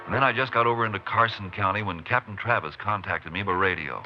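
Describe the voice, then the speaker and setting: Actor as deep-voiced, steady Texas Ranger. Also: male voice, fast talker, music in the background, close to an everyday voice. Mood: grim, determined. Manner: terse, matter-of-fact. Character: steady, determined Texas Ranger tracking a killer. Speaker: lead actor and narrator. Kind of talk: 1950s radio western acting and narration. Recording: noisy muffled 1950s radio recording.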